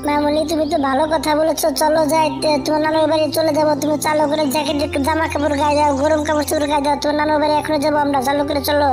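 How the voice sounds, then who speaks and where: A woman's voice: high voice, completely flat delivery, racing, few pauses, lightly acted. A woman voicing chatty, fretful female birds in an amateur cartoon, with an insistent manner. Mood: worried, insistent. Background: music.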